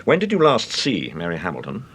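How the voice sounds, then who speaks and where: An actor playing a calm, probing English gentleman detective in a radio mystery, urbane and understated, with a British accent. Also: low voice, a little hiss or hum. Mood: probing, grave. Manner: urbane, measured questioning, understated. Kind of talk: radio mystery drama acting.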